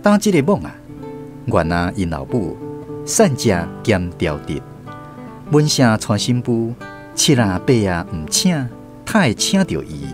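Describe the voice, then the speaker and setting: A man's voice: fairly low pitch, wildly swooping pitch, measured pace, music in the background. A man with a Taiwanese accent hosting and narrating a scripted radio drama.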